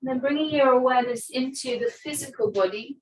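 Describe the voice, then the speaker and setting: A woman teaching restorative yoga, giving calm, soothing guidance with long pauses between phrases. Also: sits high, poor audio. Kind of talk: guided restorative yoga instruction.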